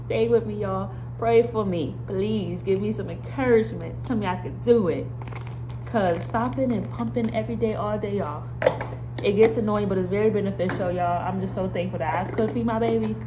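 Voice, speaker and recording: A woman's voice: mid-range voice, moderate pace. A young woman, chatting casually to camera in a vlog and calling her viewers y'all. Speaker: vlogger. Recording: noisy background.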